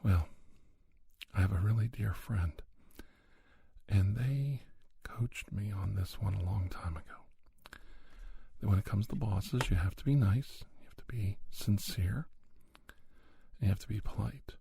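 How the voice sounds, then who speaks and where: A man speaking softly in an ASMR monologue, in a halting, reflective manner, as a podcast host. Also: on the low side, creaky, slightly rough, dry recording. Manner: slow, halting, reflective delivery. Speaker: podcast host playing a conversation counselor. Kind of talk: soft-spoken ASMR monologue.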